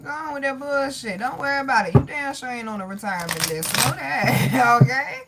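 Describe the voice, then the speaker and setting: A woman's voice: high voice, lively intonation, fairly quick, heavy background noise. A woman hosting a live tarot reading, talking bluntly and profanely in a sassy way, calling viewers honey and baby as she reads their comments. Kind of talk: casual livestream chat reading comments.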